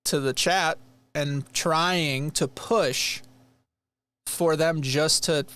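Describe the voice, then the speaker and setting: A man's voice: on the high side, very slow, clean tone. A man from Rochester, New York, hosting a livestream and chatting earnestly as he reacts to a replay. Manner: earnest, rambling, animated. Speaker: livestream host. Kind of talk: casual chat reacting to a replay.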